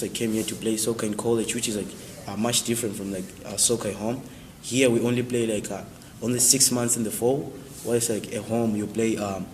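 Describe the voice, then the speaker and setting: A man's voice: fairly low pitch, darkish tone. Young male athlete, Zimbabwean accent, hesitant interview style, reverberant room, faint noise. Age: young adult. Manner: halting, repeats "like" constantly. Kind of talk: casual interview answers.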